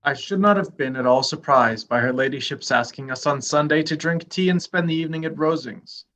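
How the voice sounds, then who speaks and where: Man playing a pompous, obsequious gentleman. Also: a little high, brisk, a little hiss or hum, close to an everyday voice. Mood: self-satisfied. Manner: formal, wordy, self-important. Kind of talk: stage-play reading, period comedy.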